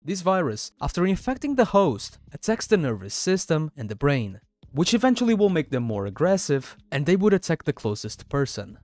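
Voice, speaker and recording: A man's voice: fairly high pitch, sing-song, moderate pace, darkish tone, no room sound. A man hosting a video as narrator, reading a scripted countdown of horror stories over music. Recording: dry voice over background music.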